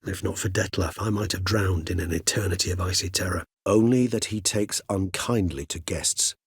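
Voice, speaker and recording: An actor playing an erudite, courteous older male vampire in a video game, speaking in formal, eloquent, measured phrases with a husky voice. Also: low-pitched, no room sound, clean studio sound, heavily acted. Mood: reflective, earnest.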